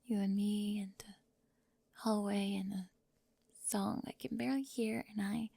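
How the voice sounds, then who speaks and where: A woman playing a talkative, bossy high school girl who gets easily flustered, in an ASMR roleplay with halting speech and breathy drops toward a whisper. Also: fairly even intonation, dark tone, dry recording. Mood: tender, flustered.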